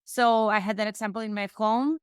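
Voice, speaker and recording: A woman talks through her career as a podcast guest, often breaking off and restarting her sentences. Her voice is very clean and smooth. It sounds dry and close, with no room sound at all. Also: a little high, fairly even intonation, brisk, dry recording. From Mexico. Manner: chatty, frequent restarts and self-corrections. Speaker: guest, real estate investor. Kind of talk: casual interview conversation.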